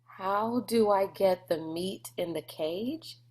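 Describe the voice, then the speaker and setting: A woman's voice: medium pitch, slow pace, a little mellow, natural voice. A woman voicing a timid, uneasy young boy in a storybook, speaking plainly and hesitantly.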